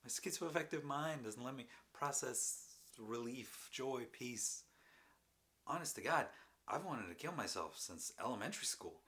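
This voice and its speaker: A man performing a halting, rambling confessional monologue as an anguished, troubled man, with sighs and bitter emphasis. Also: low-pitched, animated, pushed and bright, heavily acted. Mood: bitter, agitated. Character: anguished, mentally ill man confessing and defending his drinking. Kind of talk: dramatic stage monologue, confessional.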